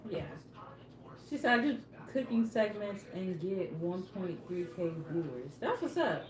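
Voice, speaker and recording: A woman's voice: medium pitch, big pitch swings, a little slow. A woman co-hosting a live cooking stream, reading viewer comments aloud and teasing playfully. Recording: echoey room with crowd noise.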